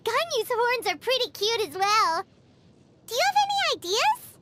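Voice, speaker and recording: A woman voicing a tiny, excitable, childlike fairy girl companion in a video game, with a squeaky voice and a bubbly, easily indignant manner. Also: normal speed, very noisy. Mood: excited, eager. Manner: bubbly, stammering, speaks of self in third person. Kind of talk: video game character dialogue. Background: background music.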